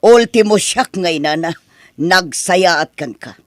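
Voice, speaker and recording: High-pitched, protective older Ilocano mother, acted by a man. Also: animated, takes it slowly, dry recording, studio quality. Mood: stern, reproachful. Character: protective older mother, gentle with daughter, stern with son-in-law. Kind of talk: Ilocano radio-style family drama.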